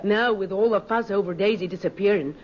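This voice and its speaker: A woman's voice: medium pitch, fairly quick, natural voice. Brash young country girl played by a woman, muffled. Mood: blunt, confiding. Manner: sassy, blunt. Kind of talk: old-time radio acting. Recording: muffled, noisy old radio recording.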